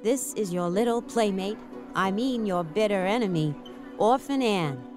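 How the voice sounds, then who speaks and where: A woman's voice: mid-range voice, animated, measured pace, music in the background, close to an everyday voice. A woman playing a playful, mocking wartime radio propagandist in a dramatized podcast. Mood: playful taunting.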